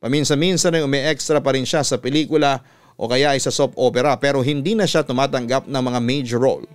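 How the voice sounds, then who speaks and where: A man with a Filipino accent, a radio announcer reading a scripted story in a measured, earnest way. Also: medium pitch, fairly even intonation. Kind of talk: scripted narration of a listener's letter. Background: music under the voice.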